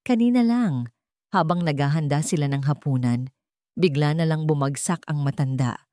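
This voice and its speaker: Lower-pitched woman narrating drama, dry recording. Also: moderate pace, mellow, no echo. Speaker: storyteller. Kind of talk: dramatic scripted narration of a love story.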